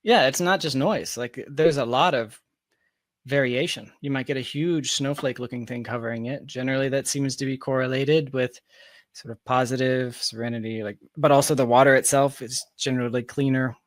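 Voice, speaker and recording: A man chatting casually as a podcast guest, telling anecdotes in an animated manner over a close mic. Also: medium pitch, normal speed, dry recording.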